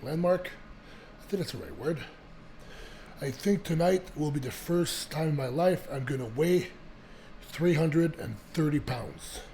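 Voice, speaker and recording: A young man with a voice gone raspy from a cold, chatting casually to his viewers in a rambling way with many restarts and fillers. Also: on the high side, lively intonation, a little slow, dark tone, a little hiss or hum. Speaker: vlogger. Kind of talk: casual chat to camera.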